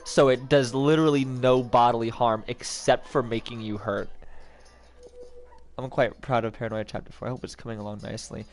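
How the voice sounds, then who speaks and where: A man's voice: medium pitch, steady tone, a little fast. A young man with a head cold chatting casually on a livestream, rambling and joking with lots of restarts. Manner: rambling, self-interrupting, joking. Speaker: streamer.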